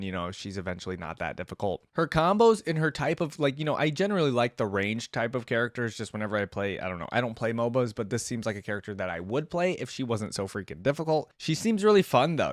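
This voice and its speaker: A man hosting a reaction video with casual, informal commentary, thinking out loud as he goes. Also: medium pitch, no room sound, clean studio sound. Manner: informal, musing aloud. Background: music.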